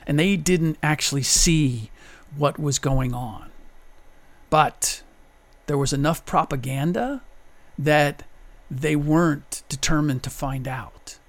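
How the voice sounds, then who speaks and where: A man delivering an opinion monologue, deliberate and emphatic, pressing hard on his key points. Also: fairly high pitch, no room sound. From United States. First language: German. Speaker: commentator.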